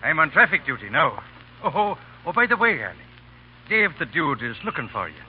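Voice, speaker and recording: A man's voice: a little high, sing-song, fairly quick, breathy edge, full character voice. A man acting in 1930s radio drama, playing a friendly, easygoing city policeman. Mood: genial. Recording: dull, faintly noisy 1930s radio recording.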